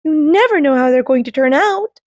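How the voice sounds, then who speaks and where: Woman putting on a jittery high-voiced magic rock spirit. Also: animated, fairly quick, a little hiss or hum. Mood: nervous. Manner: fretful, quavering, easily frightened. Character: timid female wish-granting rock spirit.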